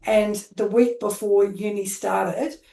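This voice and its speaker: Middle-aged Australian woman, social worker guest; chatty, rambling delivery, average pitch, roomy echoey recording. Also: steady tone, slow, gravelly, mellow, far from the mic. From Queensland, Australia. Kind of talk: casual storytelling interview. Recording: roomy echo.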